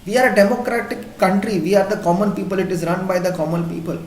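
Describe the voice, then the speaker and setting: A male teacher with an Indian English accent, a native Hindi speaker, giving a classroom lecture. He repeats his points and keeps checking in with the class using quick questions. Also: sits high, a little hiss or hum. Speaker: school teacher. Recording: echoey room.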